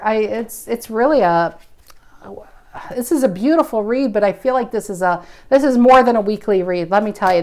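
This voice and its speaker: A woman in her forties talks through a tarot spread, musing and intuitive. She thinks aloud as she goes, breaking off and restarting her sentences. Her voice sits at an ordinary middle pitch. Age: 40s. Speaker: tarot reader. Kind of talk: casual spoken tarot reading.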